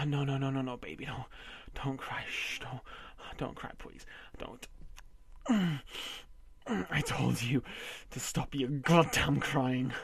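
A man voicing a domineering, possessive husband in an amateur audio roleplay, coarse and swearing, often dropping to a breathy whisper. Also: mid-range voice, dry recording, full character voice. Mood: commanding.

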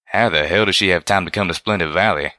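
A man's voice: low voice, fast, sharp tone, dry recording, studio quality. A man voicing a blunt, bashful male pegasus pony who talks in a folksy country drawl, for a storybook reading. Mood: puzzled.

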